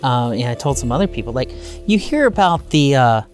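A woman's voice: a bass voice, animated, a little fast, faint music behind. A woman interviewer chatting casually, in an effusive, conversational way.

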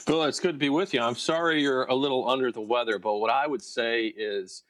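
A man who is a newspaper editor and regular co-host, speaking in a measured radio panel style. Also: medium pitch, fairly even intonation, a bit bright, no echo.

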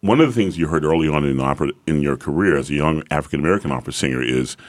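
A middle-aged man with a very deep bass voice, an opera singer talking conversationally as an interview guest, telling stories with emphatic repetition. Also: racing. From Atlanta, Georgia, southern US.

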